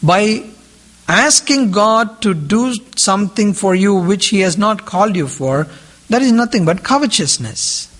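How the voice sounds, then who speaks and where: A man's voice: high-pitched, expressive, unhurried, fairly bright tone. A man preaching a sermon in English, his second language, who repeats key phrases and puts questions to his listeners. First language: not English; has a different mother tongue. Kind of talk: sermon to a group.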